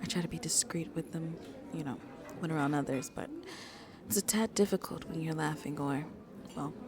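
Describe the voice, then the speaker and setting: A woman playing a shy, sweet vampire on a first date in an ASMR roleplay, soft-spoken and hesitant, often dropping to a whisper. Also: low voice, normal speed. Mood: shy, warm. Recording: crowd chatter in the background. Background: crowd chatter.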